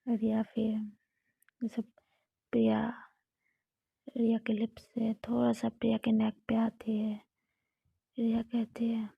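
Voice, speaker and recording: A woman narrating a romantic story in a steady, close whisper. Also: a little high, slow pace, gravelly, pauses often, husky, no echo. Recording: dull lo-fi recording.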